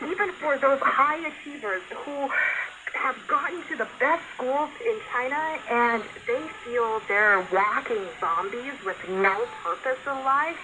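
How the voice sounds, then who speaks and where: A woman speaking as a panelist in a radio discussion, explaining in a measured way. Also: on the high side. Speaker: radio talk show panelist. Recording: noisy shortwave radio broadcast. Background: shortwave static and hiss.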